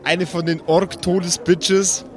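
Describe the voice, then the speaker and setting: A man's voice: high voice, flat delivery, slow pace. A man narrating and refereeing an improvised tabletop roleplaying game as game master.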